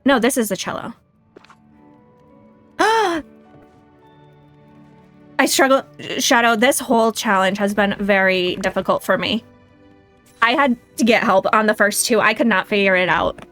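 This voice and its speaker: A woman's voice: medium pitch, a little slow, long pauses, a bit bright, soft background music. A woman hosting a casual game livestream, chatting and thinking aloud in a self-deprecating way. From United States. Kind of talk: casual livestream chat and gameplay commentary.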